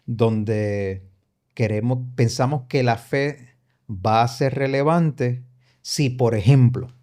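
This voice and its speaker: A man in a podcast discussion with an ordinary middle-pitched voice. He speaks in a measured way and sets out his points formally, one step at a time. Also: warm tone. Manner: measured, lays out arguments formally. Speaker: podcast co-host. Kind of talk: casual church discussion.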